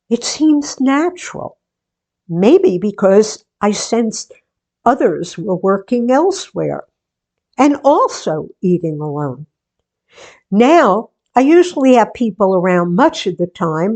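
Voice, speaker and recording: A woman playing a reflective, gentle radio host who confides in listeners in a musing, intimate, deliberate way, in a radio theatre monologue. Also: on the low side, heavily acted.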